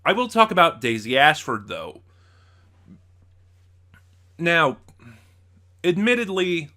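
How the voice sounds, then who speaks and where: A man's voice: mid-range voice, sharp tone. A man hosting a casual, unscripted review, talking in a rambling way with many asides and self-corrections. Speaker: host of a review channel.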